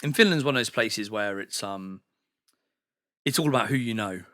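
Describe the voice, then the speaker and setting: A man's voice: lowish voice, moderate pace, sharp tone, no echo, studio quality. A man from the UK, a podcast guest in a sauna house, chatting casually and rambling with many fillers and restarts. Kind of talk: casual chat.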